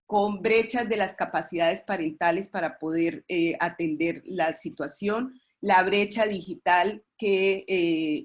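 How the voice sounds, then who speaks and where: Female expert presenter, Colombian accent, lowish pitch, formal webinar register, halting with false starts. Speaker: expert presenter at a webinar, representing a pediatric committee.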